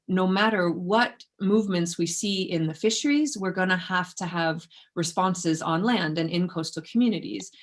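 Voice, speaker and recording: A woman researcher giving an informal academic talk in a conversational, self-effacing way. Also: lowish voice. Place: indoors in an office, online presentation.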